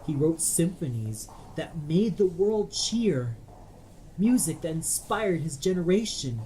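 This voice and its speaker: A man's voice: fairly high pitch, mellow, low-quality recording. A man narrating an audio play in a dramatic scripted style, solemn and theatrical.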